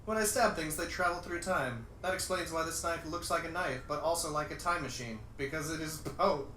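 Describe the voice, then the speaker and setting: A man's voice: fairly high pitch, brisk, sharp tone. A man voicing a tough, earnest, rather dim action hero in a mock screenplay. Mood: puzzled. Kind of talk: mock screenplay read-aloud. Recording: roomy, distant mic, faint noise.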